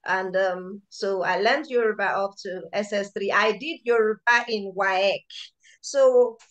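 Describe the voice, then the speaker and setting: A woman's voice: medium pitch, a little slow. Chatty woman hosting a video call. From Nigeria. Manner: chatty and excitable, repeats words when starting sentences. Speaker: lecture chair and chief speaker. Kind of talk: casual hosting of an online lecture.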